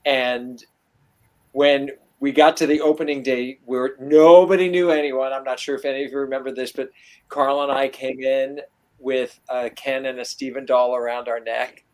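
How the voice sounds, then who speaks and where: A man chatting at a reunion and telling animated stories about old castmates, acting out what people said. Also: medium pitch, normal speed. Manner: animated storyteller, acts out quotes. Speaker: guest, former performer. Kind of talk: casual reunion chat.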